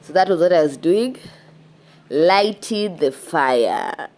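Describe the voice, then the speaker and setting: A young Kenyan woman with a Kenyan accent narrating her outdoor cooking vlog in a relaxed, chatty way, a little stuffy from a cold. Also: lowish voice, slow pace. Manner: relaxed and chatty, with frequent "yeah" and restarts. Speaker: cooking vlogger. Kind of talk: casual voice-over narration of a cooking video.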